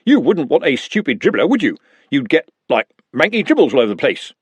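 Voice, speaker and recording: A stubborn, earnest servant, as a male narrator puts him on, speaking firmly in a raised husky voice. Dry close audiobook sound, with a lively lilt. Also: fairly high pitch, fairly quick, no room sound, heavily acted. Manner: firm, earnest.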